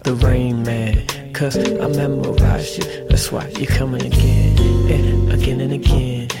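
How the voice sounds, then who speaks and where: Male vocalist, monotone and gravelly, seductive rhythmic spoken lyrics over loud instrumental track. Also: mid-range voice, unhurried. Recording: loud music under the voice. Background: instrumental music track.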